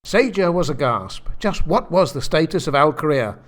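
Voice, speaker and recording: A man's voice: a little high, expressive, moderate pace, a little hiss or hum. A man giving scripted comic narration for a radio play, in a wry, mock-grand manner. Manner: theatrical, wry.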